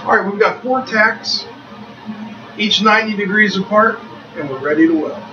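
Middle-aged male shop host, folksy how-to delivery, a bit high-pitched, dull camera-mic sound. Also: moderate pace, background noise. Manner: plainspoken and folksy, jokes at his own expense. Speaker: welding instructor and host. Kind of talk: casual how-to demonstration. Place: home welding workshop, indoors.

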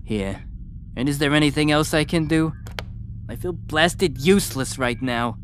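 A man's voice: on the high side, a little slow, close to an everyday voice. A man voicing an anxious, sceptical older scientist in a comic dub. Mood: worried.

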